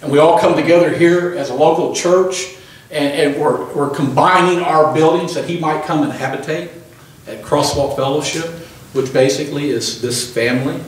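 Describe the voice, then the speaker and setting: A man in his sixties preaching a sermon into a handheld microphone in a small church hall, pausing for the congregation's amens. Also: on the high side. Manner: emphatic preaching with call-and-response amens. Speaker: pastor. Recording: echoey room from a distant camera.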